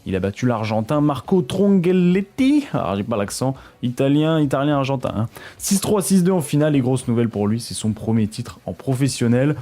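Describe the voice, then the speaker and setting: A man's voice: medium pitch, sing-song, fairly quick, mellow. A man from France presenting a weekly sports news roundup in a chatty way, talking straight to his viewers and stumbling over foreign names.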